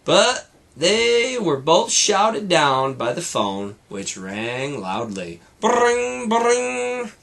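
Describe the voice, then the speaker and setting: A man reading a children's story expressively, pausing to explain and comment for young listeners. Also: high-pitched, huge pitch swings, creaky, fairly bright tone. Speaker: storybook reader for schoolchildren. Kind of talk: expressive children's read-aloud.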